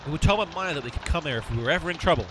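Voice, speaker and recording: A man acting the long-suffering leader of a comic duo, bossy and exasperated. His fairly high voice swings up and down in a radio play with faint background noise. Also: expressive, racing, lightly acted. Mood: exasperated, insistent. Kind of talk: amateur comedy audio drama acting.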